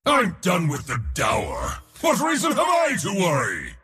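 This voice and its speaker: A man playing an arrogant, boisterous war god in a video game, speaking in a bombastic, boastful way with a gravelly, raspy voice. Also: high-pitched, wildly swooping pitch, measured pace, sharp tone, raised voice, big-room sound, exaggerated voice. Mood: smug, conversational. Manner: bombastic, boastful, theatrical delivery. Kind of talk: video game hero voice lines.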